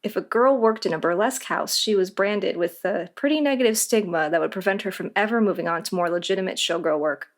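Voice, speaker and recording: Conversational woman explaining, medium-pitched voice. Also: fast talker, hardly any pauses. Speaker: interview guest, voice actor. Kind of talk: scripted explanatory interview answers.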